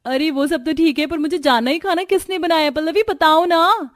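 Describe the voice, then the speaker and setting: A woman voicing a lively, blunt young female party guest with a chatty, eager manner. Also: high voice, moderate pace, pitched above the performer's own voice, close to an everyday voice. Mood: curious.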